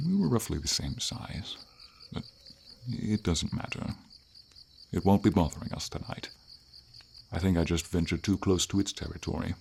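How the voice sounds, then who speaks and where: A man voicing a friendly, noble silver dragon in an ASMR fantasy roleplay, speaking in an unhurried, thoughtful and slightly formal way with pauses. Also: deep voice, expressive, vocal fry, a little mellow, slight background noise. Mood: calm, matter-of-fact. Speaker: voice actor. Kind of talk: ASMR fantasy roleplay, slow intimate storytelling. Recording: ambient nature sounds, crickets, light rain.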